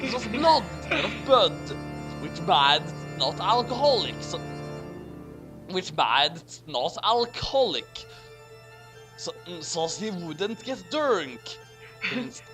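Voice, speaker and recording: Theatrical, slow-paced reader over music. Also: medium pitch, big pitch swings. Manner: theatrical, mock-outraged delivery. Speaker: host and reader. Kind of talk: comedic dramatic reading of a garbled fan story. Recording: music playing behind.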